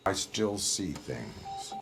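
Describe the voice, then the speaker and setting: A man playing a stoic cyborg policeman in a video game, speaking in terse, measured, formal phrases with a flat synthetic tone. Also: sits low, gravelly, a little hiss or hum, exaggerated voice. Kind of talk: video game cutscene acting.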